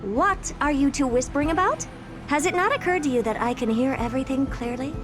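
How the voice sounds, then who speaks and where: An actress voicing a haughty, playful genius researcher in a video game, with a condescending, commanding and theatrical manner. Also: female voice, sits high, animated, fairly quick, music in the background. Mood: amused, haughty.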